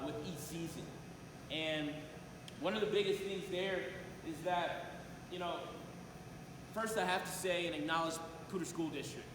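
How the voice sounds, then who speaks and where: A man giving a motivational talk as a coach at a conference, addressing his audience directly with frequent hesitant pauses, in a large room. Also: fairly high pitch. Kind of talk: motivational public presentation. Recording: very echoey noisy hall, long reverb.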